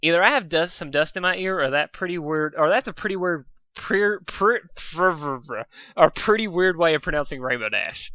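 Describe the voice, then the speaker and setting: A man's voice: fairly high pitch, lively intonation, normal speed, sharp tone, dry recording, dull audio, pitched above the performer's own voice. A man voicing a brash, swaggering female cartoon pony with a cocky, wheedling delivery. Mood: cocky, pushy.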